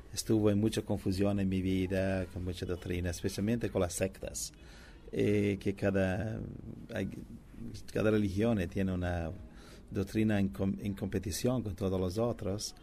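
A male preacher speaks in a gravelly, low voice. His delivery is halting and full of restarts, like someone working in his third language. A faint hiss sits under the recording. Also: fairly even intonation, dark tone. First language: not Spanish; preaches in English and Italian. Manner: halting non-native Spanish with many restarts and fillers. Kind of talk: conversational Bible teaching.

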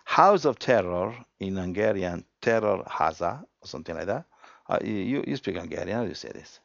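A man preaching a sermon, reading scripture aloud and explaining it emphatically, with frequent restarts and swings from quiet to loud. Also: low voice, lively intonation, normal speed, creaky, no room sound, highs cut off.

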